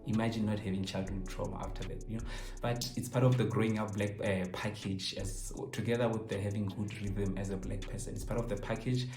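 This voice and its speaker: A man's voice: lowish voice, little pitch movement, a little fast, a little mellow. A South African man in his twenties chatting casually and jokingly to camera, switching between languages. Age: 20s. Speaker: YouTuber answering viewer questions. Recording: roomy echo, faint music behind.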